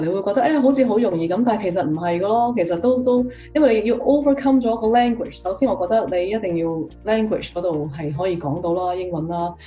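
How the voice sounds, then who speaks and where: A woman's voice: mid-range voice, warm tone. Woman. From Hong Kong. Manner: chatty, with many fillers and restarts. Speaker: guest tax professional. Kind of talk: casual interview answers. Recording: dull distant mic with faint music.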